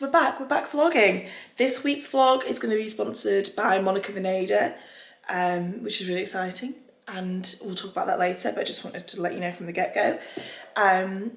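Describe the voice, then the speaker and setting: A young woman with a husky, raspy voice from tonsillitis, chatting upbeat to her vlog viewers with lots of ums. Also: mid-range voice, a little hiss or hum. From England. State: recovering from tonsillitis. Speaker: vlogger. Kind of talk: casual vlog chat. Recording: echoey room.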